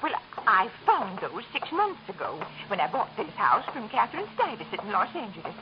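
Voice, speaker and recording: A woman acts out an earnest, gentle homeowner in an old radio play, breathy and gushing. Her pitch swings very widely. The broadcast is dull and lo-fi. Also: very animated, normal speed, slight background noise, lightly acted. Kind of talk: 1940s radio acting.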